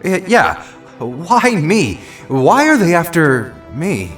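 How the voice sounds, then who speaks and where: A man's voice: on the high side, wildly swooping pitch, a bit bright, soft background music, full character voice. A man in an audio drama playing a bewildered, shaken civilian who stammers and hesitates. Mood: shaken, confused. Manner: halting, stammering. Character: bewildered, shaken male civilian crime victim.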